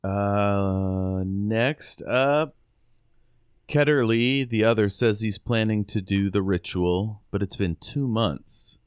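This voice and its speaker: Male podcast co-host with a low voice and dry sound, thinking aloud informally about fiction. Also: slow, clear, resonant tone, dry recording. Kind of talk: casual chat discussing a novel.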